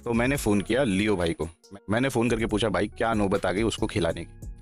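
A man's voice: on the low side, brisk, faint music behind. A man in his thirties, an esports player, talking bluntly and heatedly into a headset mic from a small room, repeating himself to press his points. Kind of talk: livestream talk and call recording. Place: small room, sitting in a gaming chair.

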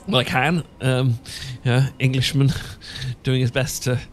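A man's voice: medium pitch, flat delivery, a little slow, soft background music, natural voice. Man playing a stammering, bashful British professor. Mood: flustered, sheepish. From Britain. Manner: stammering, hesitant, full of false starts. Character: awkward, bashful, sentimental British English professor, no longer young. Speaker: audio roleplay performer. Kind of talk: ASMR romantic roleplay acting.

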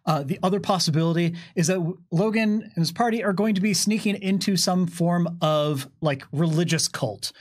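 A man's voice: sits high, normal speed, hardly any pauses. A man in his thirties co-hosting a game demonstration, explaining rules in a casual, conversational way. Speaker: game developer and co-host demonstrating tabletop rules. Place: small curtained studio, seated at a table. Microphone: microphone on a boom stand.

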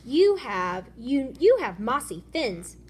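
A woman voicing a taunting teaser in a children's storybook with loud, drawn-out jeers. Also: on the high side, sing-song, husky, pitched above the performer's own voice, heavily acted. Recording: noisy room ambience.

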